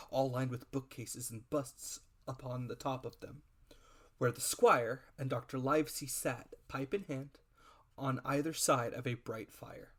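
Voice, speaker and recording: A man's voice: medium pitch, a bit bright. A man reading a classic adventure story aloud as scripted, dramatic narration. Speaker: audiobook reader.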